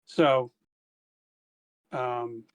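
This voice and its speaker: A man playing a tabletop game, speaking in character as a squad commander in halting phrases with frequent restarts. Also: mid-range voice, steady tone, clean tone. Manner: halting, restarts mid-sentence. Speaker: player.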